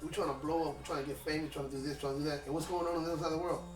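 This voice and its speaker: Fast-talking rapper, loose and emphatic. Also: male voice, on the high side, level tone. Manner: fast, loose and emphatic, peppered with slang and swearing. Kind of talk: casual interview chat. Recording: echoey room with music behind, mic at a distance.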